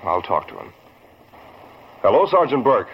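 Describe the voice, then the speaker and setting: Decisive vintage-radio boss: husky, expressive intonation, businesslike, slightly muffled old recording. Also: male voice, medium pitch, measured pace, full character voice. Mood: serious. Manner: businesslike, grave. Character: serious, decisive male newspaper boss. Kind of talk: 1940s radio acting.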